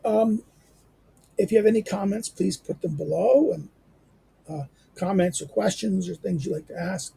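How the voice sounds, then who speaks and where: A hoarse man reads a history book aloud as a teacher would, stopping often to hesitate and start words over. His voice sits fairly high but sounds dark and gravelly, with a rough, raspy edge. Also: moderate pace, pauses often, rough recording. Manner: hesitant, many fillers and restarts. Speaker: teacher reading a classic text aloud. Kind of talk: halting read-aloud with commentary.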